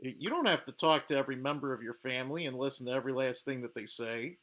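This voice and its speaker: A man hosting a reaction video, pausing clips to give blunt, judgmental commentary with frequent fillers. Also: mid-range voice, fairly bright tone. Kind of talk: commenting over paused clips.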